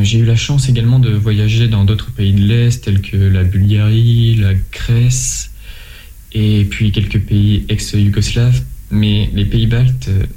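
A man in his twenties from France, answering interview questions in a measured way, often pausing and restarting. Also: lowish voice, dark tone. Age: 20s. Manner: measured, with frequent hesitations and restarts. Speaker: interview guest, volunteer.

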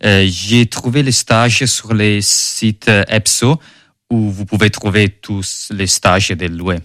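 Deep-voiced Italian-accented man speaking French. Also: steady tone, a bit bright, no room sound, clean studio sound. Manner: measured, with frequent hesitation fillers. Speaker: intern at an EU agency. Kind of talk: interview answers in a second language.

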